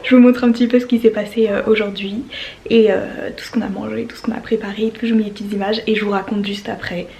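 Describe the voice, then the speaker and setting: A woman's voice: mid-range voice, flat delivery, fast talker, gravelly, warm tone, slight background noise. Young French woman vlogging with a stuffy cold. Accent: French from France. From France. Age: early 20s. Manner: informal, youthful slang, enthusiastic. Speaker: au pair vlogger. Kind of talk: casual chatty vlog storytelling.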